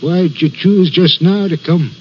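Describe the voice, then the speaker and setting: High-pitched, stammering haunted signalman. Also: steady tone, unhurried. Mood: suspicious. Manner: hesitant, stammering, deferential. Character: uneasy, haunted, bookish railway signalman. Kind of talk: old-time radio drama acting. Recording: dull, noisy vintage radio recording.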